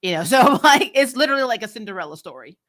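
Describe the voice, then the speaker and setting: A woman's voice: on the high side, animated, fast talker, a bit bright. A woman giving casual, candid fan commentary as a recap host, in a sassy, emphatic and colloquial way.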